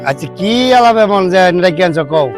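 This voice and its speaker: A man's voice: sits high, lively intonation, a little fast. A man acting a shrewd, commanding village moneylender in a drama, with a rural Bangladeshi accent. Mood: calculating. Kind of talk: naturalistic Bengali TV drama acting. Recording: music behind the dialogue.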